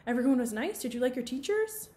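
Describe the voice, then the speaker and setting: A woman's voice: high-pitched, very animated, fast, dark tone, voice pushed up. Woman as a caring, inquisitive mother, lilting upward. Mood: probing.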